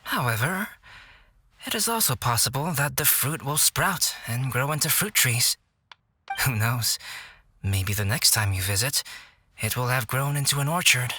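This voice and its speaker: A man voicing a calm, scholarly, quietly teasing young alchemist in an anime video game, measured and articulate. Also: mid-range voice, breathy edge, no echo, full character voice. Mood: matter-of-fact.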